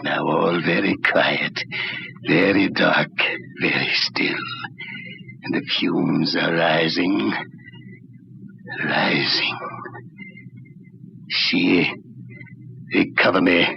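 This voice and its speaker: An actor playing a crazed, sinister old doctor in old-time radio horror, theatrical and drawn-out, with a gravelly voice. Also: male voice, sits low, fairly even intonation, heavily acted. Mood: eerie, commanding. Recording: dull, noisy old radio recording.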